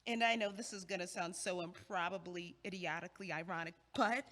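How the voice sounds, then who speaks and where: An actress in an audio play, playing a wry young woman who teases her friend with quick wit, recorded with a long hall reverb. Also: fairly low pitch, a bit bright. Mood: teasing. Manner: teasing, quick-witted delivery.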